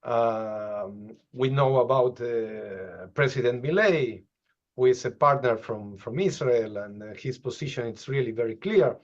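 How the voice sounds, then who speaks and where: Interviewer, fifties, hesitant delivery, dull webcam sound. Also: male voice, mid-range voice. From Argentina and Brazil. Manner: halting, searching for words. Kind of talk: interview questions over video call. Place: office with bookshelves. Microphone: video call webcam.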